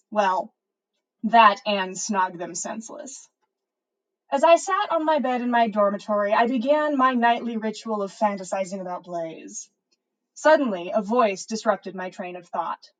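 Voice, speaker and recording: A woman's voice: medium pitch, low-quality recording. A woman reading a story aloud as a podcast host on a livestream. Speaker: podcast host reading listener fan fiction.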